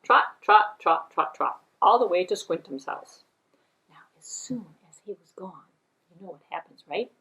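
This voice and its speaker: A woman's voice: mid-range voice, lively intonation, a little slow, pushed and bright. A woman storyteller narrating a puppet show for children, with sing-song, repetitive emphasis.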